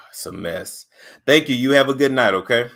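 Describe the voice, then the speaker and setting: Male call-in host, relaxed and chummy, expressive pitch. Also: moderate pace, gravelly. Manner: relaxed, chummy, agreeing with callers. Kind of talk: casual call-in chat.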